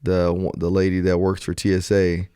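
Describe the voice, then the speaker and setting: Male podcast host, low-pitched, dry close sound, slangy and conversational storyteller. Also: normal speed, clean tone, no echo. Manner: slangy, colloquial, animated when retelling exchanges. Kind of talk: casual podcast banter and storytelling.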